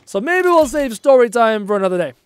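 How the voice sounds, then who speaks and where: High-voiced male streamer losing his voice. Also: a little fast, smooth, no room sound. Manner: chatty, reads out viewer messages, easily excited. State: losing his voice, low on energy. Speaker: game streamer. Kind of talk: casual live-stream chat with viewers. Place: at his desk at home.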